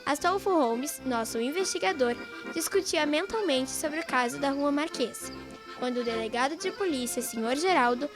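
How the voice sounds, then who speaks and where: A woman's voice: sits high, lively intonation, moderate pace. A girl of about twelve with a Brazilian accent reads scripted narration for a radio play. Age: child, about twelve. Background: music.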